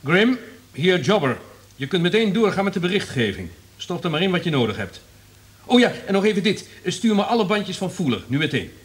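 An actor in a Dutch radio play playing a smug, calculating, cynical radio network executive. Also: male voice, high voice, sing-song, brisk. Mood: brisk, commanding. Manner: self-satisfied, calculating.

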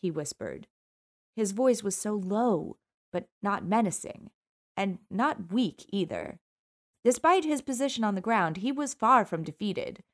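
A woman's voice: medium pitch, lively intonation, a little slow. A woman narrating a first-person audiobook in a wry, sultry storytelling manner.

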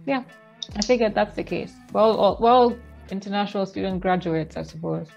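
A woman's voice: medium pitch, brisk, gravelly, darkish tone, muffled recording, soft background music. A young woman from Kenya hosting her vlog, reminiscing in a chatty way with frequent restarts, talking over a headset on a video call at home. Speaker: vlogger host. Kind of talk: casual video call chat. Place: home room with shelves, indoors.

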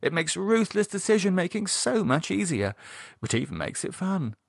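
A man voicing a bossy, worldly British woman in an audiobook, slyly ruthless, teasing and forceful. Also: high-pitched, sing-song, normal speed, creaky, slightly rough, no room sound, studio quality, voice pushed up, full character voice. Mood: scornful, persuasive. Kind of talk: audiobook character voice.

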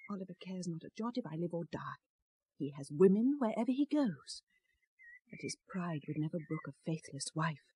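An actress playing a fearful, guarded noble wife in a radio drama, with measured, formal, old-fashioned speech. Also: female voice, animated, warm tone, dry recording. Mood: wary, urgent. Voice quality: husky, low. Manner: measured, formal archaic phrasing.

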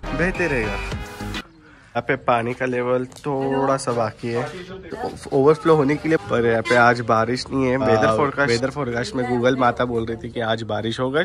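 A young man, a travel vlogger, chatting excitedly to his phone camera as he shows a temple and a dam. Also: mid-range voice, normal speed. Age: young adult. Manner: excited, chatty tour-guide talk mixing Hindi and English. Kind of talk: casual travel vlog narration. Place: outdoors at a temple and a dam, and inside a temple hall. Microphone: phone held at arm's length. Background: background music.

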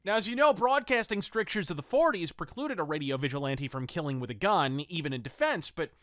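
A man's voice: a little high, expressive, brisk, fairly bright tone, no room sound, clean studio sound. A man hosting a review podcast, with theatrical, wordy and wry semi-scripted commentary.

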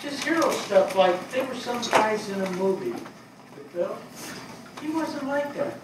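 An older, high-pitched fire captain, played by a man on stage, grieving and plain-spoken, feels his way haltingly through his words in a weary, reluctant manner. The sound comes from far off in a very echoey theatre. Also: animated. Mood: troubled, reluctant. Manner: halting, hesitant delivery. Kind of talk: dramatic stage reading of a play. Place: small theatre stage before a seated audience. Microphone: distant camera microphone. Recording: very echoey hall, far microphone, noisy.

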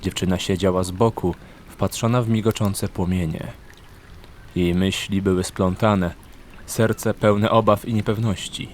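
A man's voice: deep voice, steady tone, a little slow, a little hiss or hum. A man narrating a scripted fantasy story as an audiobook narrator. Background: background music.

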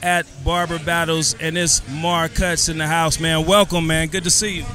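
A man interviewing guests at a barber event, excited and motivational in tone. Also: on the high side, moderate pace, soft background music. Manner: enthusiastic, motivational. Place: event backdrop at a barber competition. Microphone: handheld mic.

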